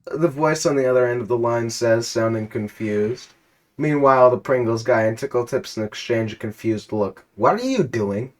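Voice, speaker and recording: A man reading a silly, absurd story aloud in a deadpan narrating voice, now and then cracking up. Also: mid-range voice, moderate pace. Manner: deadpan narration, breaking into laughter.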